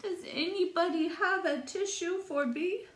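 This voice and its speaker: A woman's voice: very high voice, measured pace, roomy sound, voice pushed up. A woman voicing a tiny, sneezy flea for a children's storybook, pleading in a plaintive, sniffly way.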